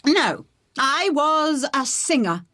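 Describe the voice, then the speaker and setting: An actress playing an ailing, playful and stubborn former opera singer in a British period radio drama, with a teasing, theatrical manner. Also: female voice, high voice, big pitch swings, slow pace, sharp tone, dry recording, clean studio sound, heavily acted.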